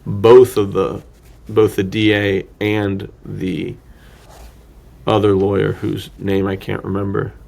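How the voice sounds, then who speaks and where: A man's voice: fairly low pitch, monotone, takes it slowly, gravelly, a little mellow. A man co-hosting a podcast, discussing film casually and thinking aloud in a rambling, slangy way. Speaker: podcast co-host.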